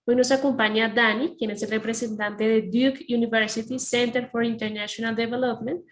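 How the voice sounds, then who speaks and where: A woman's voice: a little high, steady tone, fast talker. A woman hosting a webinar on a video call, delivering a formal introduction. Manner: formal, polished. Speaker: webinar host. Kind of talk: scripted introduction.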